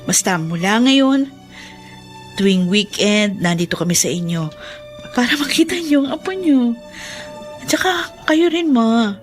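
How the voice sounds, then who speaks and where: A woman's voice: fairly high pitch, lively intonation, measured pace, pauses often, faint music behind. An actress playing a forgiving young wife and mother in a Filipino radio drama, speaking earnestly and respectfully. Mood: warm, conciliatory.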